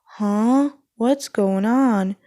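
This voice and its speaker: A woman voicing an excitable, doubting male race car in a cartoon storybook, exclaiming in disbelief. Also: fairly high pitch, drawn-out words, no echo, clean studio sound. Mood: bewildered. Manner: incredulous, exclaiming.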